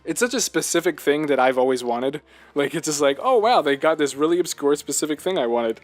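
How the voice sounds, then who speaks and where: A man's voice: a little high, animated, a little fast, soft background music. A man co-hosting a casual podcast discussion about games, talking in an emphatic, enthusiastic fan's way. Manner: enthusiastic, emphatic fan talk. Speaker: podcast co-host. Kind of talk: casual video game discussion.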